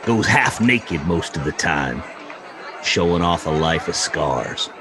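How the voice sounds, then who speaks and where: A man's voice: low-pitched, animated, music in the background, heavily acted. A man voicing a cantankerous old Wild West storyteller with a wheezy, folksy delivery, in an audiobook reading. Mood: relishing the tale. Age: older. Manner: folksy, gruff, drawn-out yarn-spinning.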